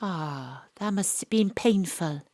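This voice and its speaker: An actress playing a sharp, knowing female private detective in an audio drama, confident and probing as she lays out her case. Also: fairly low pitch, lively intonation, slow, no echo, studio quality. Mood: consoling. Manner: confident, probing, coolly persuasive. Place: home studio.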